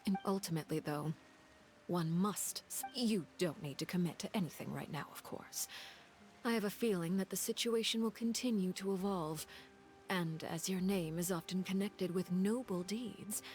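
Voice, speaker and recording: A commanding, calculating woman from a video game, played by an actress. Her voice sits low and her speech is formal, deliberate and composed. Soft music plays underneath. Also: natural voice.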